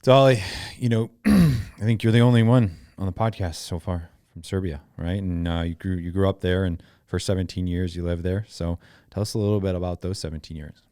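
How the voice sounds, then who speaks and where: A man hosts an interview podcast in an upbeat, chatty way. His voice sits very low. The recording is dry and close, with no room sound. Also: fairly even intonation, dark tone, no room sound. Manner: upbeat, chatty, quick to laugh. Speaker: podcast host. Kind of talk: casual interview conversation.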